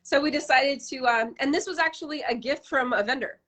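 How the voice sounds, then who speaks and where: A woman's voice: high-pitched, brisk, fairly bright tone. A woman from Canada in her thirties, a brand founder chatting in an interview over a video call, bubbly and full of "like" fillers. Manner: bubbly, gushing, many "like" fillers. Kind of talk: casual interview chat.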